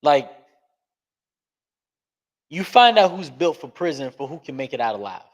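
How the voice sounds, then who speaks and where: A man giving an interview on a podcast, talking earnestly and emphatically, speeding up and slowing down to stress points. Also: on the high side, sing-song. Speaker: podcast guest. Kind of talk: candid interview talk.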